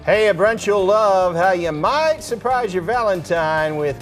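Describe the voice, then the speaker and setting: Man, TV news anchor, slightly high pitch, jokey informal banter, light music behind. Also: lively intonation, unhurried, poor audio. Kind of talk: chatty newscast banter and story reading.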